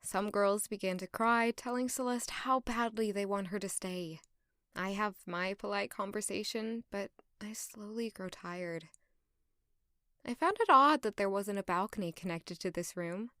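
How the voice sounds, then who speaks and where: A woman's voice: medium pitch, unhurried, a bit bright, clean tone, no echo, studio quality, close to an everyday voice. A woman playing a reserved, modest and wistful young palace maid in an audio drama, with a polite, understated way of talking. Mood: wistful, reflective. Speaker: narrator and lead character of audio drama. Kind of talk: audio drama acting with first-person narration.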